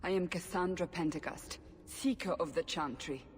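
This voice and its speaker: A woman's voice: on the low side, moderate pace, slight background noise, lightly acted. Woman acting a stern interrogator in a reverberant hall. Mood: cold, commanding. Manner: commanding, accusing, clipped. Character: stern, imperious woman holy-order interrogator. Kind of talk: dramatic video game acting. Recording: long reverb, music behind.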